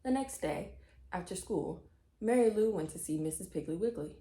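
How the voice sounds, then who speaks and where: A woman narrates a children's story with expressive, scripted delivery. Her voice is slightly low and very mellow. The recording sounds roomy and echoey. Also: normal speed.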